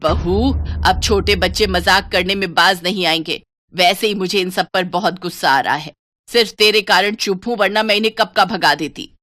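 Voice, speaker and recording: A woman's voice: lowish voice, moderate pace, fairly bright tone, no echo, lightly acted. A woman voicing a greedy, grumpy old village grandmother who scolds and complains. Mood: grumbling, irritated.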